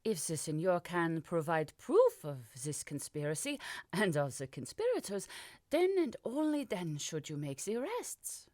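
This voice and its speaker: A woman voicing a composed pregnant queen who speaks calmly and persuasively. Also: lowish voice, no room sound, studio quality. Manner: calm, measured, softly persuasive.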